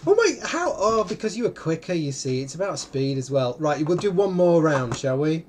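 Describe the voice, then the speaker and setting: A man's voice: fairly high pitch, sing-song, normal speed, darkish tone, slight background noise. A man hosting a casual livestream game, chatting in a hesitant, self-deprecating way. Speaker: livestream host. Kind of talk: casual banter during an online drawing game.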